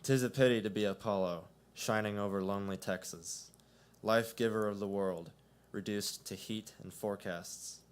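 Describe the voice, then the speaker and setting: A man reading his own poems aloud at a coffee shop open mic, with a measured delivery that swells. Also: fairly low pitch, fairly even intonation, clean tone. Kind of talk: poetry reading.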